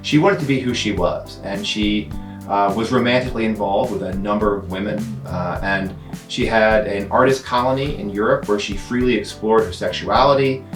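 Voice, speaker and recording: A man's voice: lowish voice, normal speed, reverberant, faint music behind. A man presenting to camera as a playful, self-aware museum education host.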